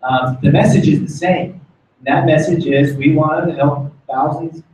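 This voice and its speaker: A man's voice: mid-range voice, darkish tone, slight background noise. A man with an American accent giving an informal motivational talk as a corporate guest speaker, repeating phrases for emphasis. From United States. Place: meeting room, speaking before small audience. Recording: very echoey room, distant muffled mic.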